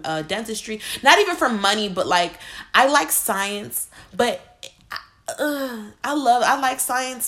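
A woman's voice: mid-range voice, a bit bright. A twenty-year-old woman, a college student, talking candidly and earnestly in a casual way, with many "like" fillers. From United States. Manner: earnest, casual, heavy use of "like". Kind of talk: candid personal talk.

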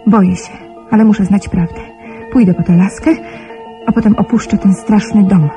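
A woman's voice: medium pitch, steady tone, moderate pace, over music, natural voice. An actress playing a frightened young woman confiding her fears as a letter in a radio play. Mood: anxious, confiding. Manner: anxious, confiding.

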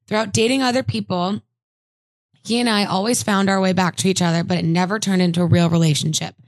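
A woman's voice: mid-range voice, quick pace, no room sound, poor audio. Woman reading listener letters, dry sound. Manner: animated, jokey, reads letters aloud with asides. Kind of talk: casual chat.

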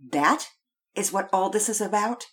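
A woman's voice: mid-range voice, animated, a little slow, fairly bright tone, voice pushed up. A woman voicing an impatient, stern father who scolds his children in an aggravated way, in a children's story.

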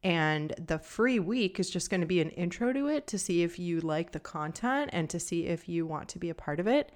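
Woman, young adult, calm, somewhat monotone, deeper voice, podcast host, casual solo talk. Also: moderate pace. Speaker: podcast host and nutrition coach.

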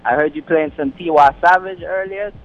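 A man's voice: a little high, normal speed. A young man who grew up in London and the Caribbean, a radio guest talking over the phone with many fillers and restarts. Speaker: radio guest, community organization founder. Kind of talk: casual interview over the phone. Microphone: phone line. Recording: tinny phone line with faint noise.

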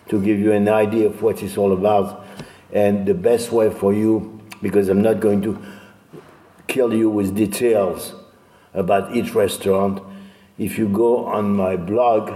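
An older man with a French accent giving a talk as guest speaker, halting with many fillers and restarts. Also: fairly low pitch, mellow, echoey room, long reverb tail. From France. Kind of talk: lecture talk.

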